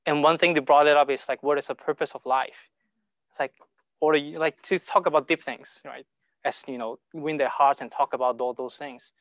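Halting man. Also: a little high, steady tone, a bit bright, no room sound, poor audio. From Hong Kong. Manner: halting, repeats words, broken grammar. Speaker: speaker sharing testimony. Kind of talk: informal talk to a church group.

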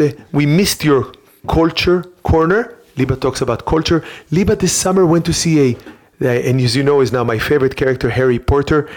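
An earnest, childlike talk show host with an Israeli accent, as a comic performer plays it. The English is broken and halting, full of repetition and naive boasting. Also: medium pitch, a little mellow, close to an everyday voice. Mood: playful. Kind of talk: comedic children's talk show hosting and storytelling.

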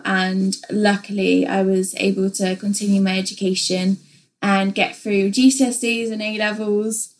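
A young woman from England, co-hosting a podcast in a casual, open, chatty way with many fillers. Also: medium pitch. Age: young adult. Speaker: podcast co-host.

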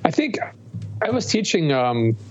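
A man's voice: fairly high pitch, big pitch swings, a little slow, soft background music. Rambling American man on muffled audio. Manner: rambling, profane. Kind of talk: casual chat. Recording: muffled lo-fi audio with clear noise.

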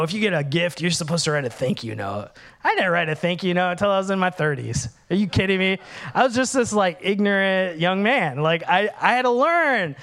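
A man, preaching a candid, humorous sermon as pastor. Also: high-pitched. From Minnesota, USA. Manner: animated, candid, humorous, acts out dialogues.

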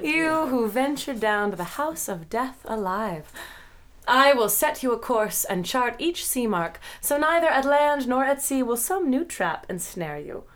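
A woman playing a solemn enchantress goddess in an audio drama, delivering measured, incantatory and ominous warnings. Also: a little high. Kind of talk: audio drama verse recitation.

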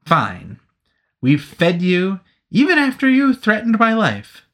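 A man voicing an impatient aristocratic gentleman who speaks in a clipped, imperious way. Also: sits high, huge pitch swings, slow pace, voice pushed up, exaggerated voice. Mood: curt imperious.

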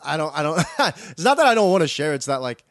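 A man co-hosting a podcast, chatting casually and effusively about an animated show in slangy, informal language. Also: a little high, fast talker. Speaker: podcast co-host.

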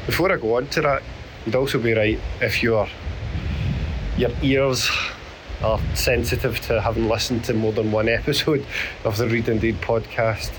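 A middle-aged Scottish man with a hoarse voice, hosting his podcast as a rambling spoken diary while walking on a beach. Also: mid-range voice, steady tone. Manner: rambling, self-deprecating, halting. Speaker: podcast host. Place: outdoors on a beach. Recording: outdoor recording with clear background noise.

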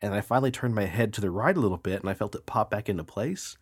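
Thirties male co-host, slightly nasal, lower register, animated casual talker. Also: fast talker, slightly rough. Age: 30s. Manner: animated, swearing, laughing, storytelling. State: slightly nasal from a sinus infection. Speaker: podcast co-host. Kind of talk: casual chat.

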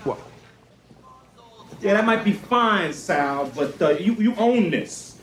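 Hotheaded young customer in a film, insistent, high voice, echoey room. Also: male voice, animated, slow pace. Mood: challenging. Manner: insistent, confrontational. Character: agitated, defiant young man, loud pizzeria customer stirring trouble. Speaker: film actor. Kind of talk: dramatic film dialogue. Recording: noisy, echoey film soundtrack.

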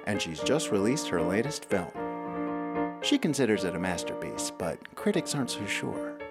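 A man's voice: fairly low pitch, very animated, moderate pace. A man giving dramatic scripted narration for an audio drama. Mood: storytelling. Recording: prominent music behind the voice.

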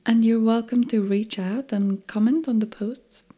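A woman hosting a musicians' podcast, reading her introduction in a soft, measured, half-whispered way. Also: a little high, fairly even intonation, breathy edge. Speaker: podcast host. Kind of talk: scripted podcast intro and interview. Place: home in Stockholm.

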